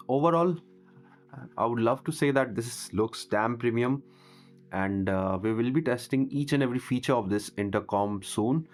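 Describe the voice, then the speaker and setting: A man talking through a product unboxing as a vlogger, in a casual reviewing style. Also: medium pitch. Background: music.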